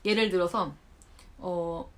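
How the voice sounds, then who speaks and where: A Korean woman in her thirties talking casually to camera, chatty and animated, acting out remarks she quotes. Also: lowish voice, slow. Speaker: vlogger. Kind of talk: unscripted talk to camera. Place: home in Japan.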